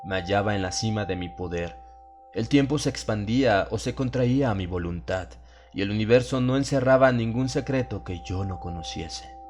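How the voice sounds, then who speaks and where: Low-voiced man narrating horror, music behind. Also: moderate pace, a little mellow. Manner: solemn, dramatic. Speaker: audiobook narrator. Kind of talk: dramatized horror story narration.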